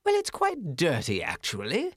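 A prissy, stuck-up city mouse squeaks high above a normal voice, sniffing at everything with fussy, drawn-out scorn, as a man puts him on. Every boast swoops up and down with wild, lively pitch. The sound is close and dry. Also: husky, dry recording, full character voice. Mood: disdainful. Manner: haughty, fussy, drawn-out disdain. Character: snobbish bragging male city mouse.

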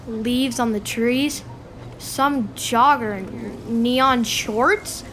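A woman's voice: a little high, extremely slow, fairly bright tone, slight background noise. A woman acting in an audio drama as a cheeky, curious thirteen-year-old boy, questioning and easily stung. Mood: puzzled.

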